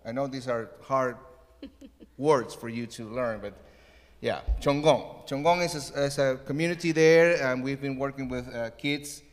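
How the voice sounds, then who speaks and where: Earnest Ecuadorian man, echoing hall. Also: mid-range voice. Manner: earnest, halting with many fillers. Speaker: missionary. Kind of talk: church missions update.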